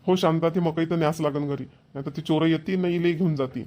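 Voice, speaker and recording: Man voicing a higher-pitched, grumbling village farmer. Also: fairly even intonation, fairly quick, darkish tone, close to an everyday voice. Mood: worried, resolute. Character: village farmer husband and father, worried, grumbling. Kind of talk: Marathi village cartoon comedy dialogue.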